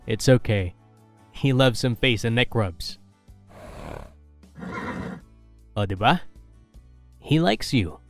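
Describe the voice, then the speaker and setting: An actor playing a gentle, reassuring young heir to a ranch in a romantic audio drama, speaking softly with a Filipino accent. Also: male voice, medium pitch, lively intonation, takes it slowly. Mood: gentle pride. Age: young adult. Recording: music bed behind voice.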